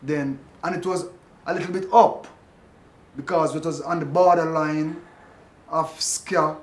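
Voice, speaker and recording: A man in an interview, explaining music by vocalising bass and piano parts. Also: steady tone, a little slow. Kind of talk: reminiscing interview. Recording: echoey room with faint noise.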